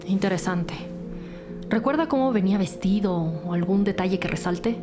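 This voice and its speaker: A woman's voice: medium pitch, moderate pace, over music, close to an everyday voice. A woman playing a methodical, level-headed police detective in an audio drama. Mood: calm, professional.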